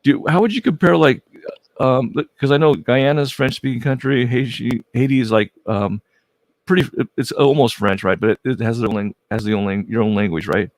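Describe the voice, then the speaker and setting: A man's voice: mid-range voice, fairly quick, dry recording. A man hosting a casual livestream interview, friendly and prone to stumbling restarts. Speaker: host.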